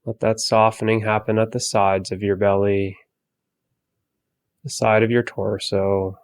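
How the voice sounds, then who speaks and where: A man leading a guided meditation for focus, giving soft, calm body-scan instructions with long pauses. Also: fairly low pitch, clean tone, dry recording.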